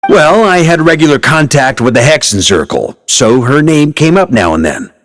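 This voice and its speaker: A man's voice: on the high side, sing-song, normal speed. A man playing an easygoing, breezy expedition leader in a video game, with an offhand, casual manner. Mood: offhand, amused.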